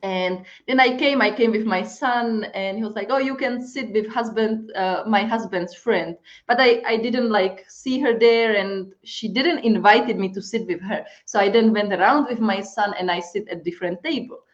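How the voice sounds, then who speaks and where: Chatty woman host, slightly dull audio. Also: mid-range voice. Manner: chatty, many fillers and halting restarts. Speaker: interview host. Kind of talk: casual conversational interview.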